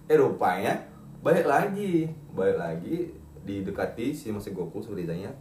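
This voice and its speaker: A man's voice: fairly low pitch, very animated, moderate pace, a little mellow, reverberant, distant mic, a little hiss or hum. A man chatting casually about old cartoons with friends, retelling scenes with plenty of laughter. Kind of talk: casual chat among friends.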